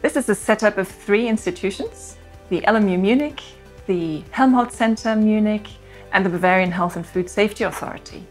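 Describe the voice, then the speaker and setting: A woman's voice: medium pitch, fairly quick, faint music behind. A middle-aged woman, a program spokesperson, speaking confidently in a promotional interview in an office. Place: indoor office with sofa.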